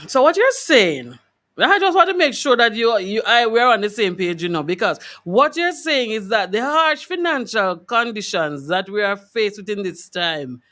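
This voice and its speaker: A woman hosting a live radio discussion in a conversational, deliberate way, rephrasing points for clarity. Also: medium pitch, sing-song, a bit bright. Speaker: radio show host.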